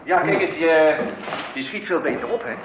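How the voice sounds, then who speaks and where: A man's voice: on the high side, moderate pace, highs cut off, lightly acted. An actor playing a bumbling, eager middle-aged family man in a radio comedy, stammering and muttering repeated words to himself. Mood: defensive, chatty. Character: bumbling, eager husband and father doing home DIY. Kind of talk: radio comedy play acting. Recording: noisy, echoey old radio play recording.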